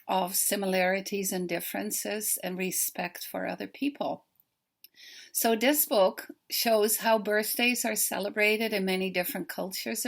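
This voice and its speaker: Dutch-accented older woman with a gravelly voice. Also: mid-range voice. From Netherlands. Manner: warm, earnest storytelling, slow and deliberate. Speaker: children's book author. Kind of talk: recorded video greeting to a festival.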